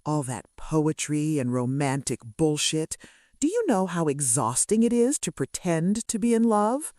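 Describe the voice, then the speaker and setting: A woman's voice: low-pitched, sing-song, measured pace, warm tone, no echo, clean studio sound. A woman voicing a clinical, sardonic male kidnapper who speaks with casual menace in a romance audiobook. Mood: callous, sardonic. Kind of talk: romance audiobook character dialogue.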